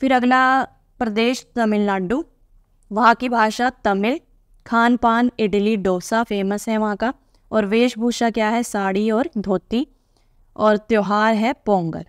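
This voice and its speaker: A woman's voice: on the high side, steady tone, unhurried, clean tone, no echo, clean studio sound. A woman teacher reading aloud from a textbook and patiently explaining each answer to young pupils.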